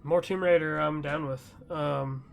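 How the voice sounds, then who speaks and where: A man's voice: a little high, fairly even intonation, unhurried, vocal fry. A man hosting a podcast, chatting casually about gaming news in a rambling, loosely conversational way. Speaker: podcast host.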